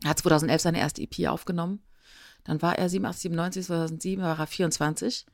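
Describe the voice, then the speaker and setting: A woman's voice: fairly low pitch, fairly even intonation, rapid-fire, dry recording, studio quality. A woman co-hosting a podcast, talking in a casual, conversational style. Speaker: podcast co-host.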